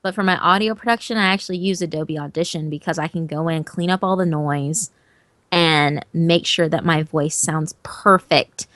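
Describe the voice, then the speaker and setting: A woman's voice: low voice, moderate pace, no echo. Emphatic woman horror narrator, dry sound. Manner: animated and emphatic, swears casually. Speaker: horror story narrator and guest. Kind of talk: casual interview chat.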